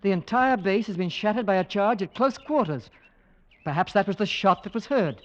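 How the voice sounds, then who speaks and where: A man playing a brisk, skeptical English gentleman with an English accent, clipped and debonair, in an old radio drama. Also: sits high, expressive, highs cut off. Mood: keen, intent. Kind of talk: 1940s-50s radio drama acting.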